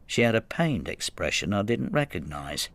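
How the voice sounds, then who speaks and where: Low-voiced, brooding older man. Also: big pitch swings, moderate pace, creaky, fairly bright tone, no room sound, heavily acted. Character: brooding older Frenchman, resentful, inward, estranged husband. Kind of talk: radio drama, intimate first-person narration and dialogue.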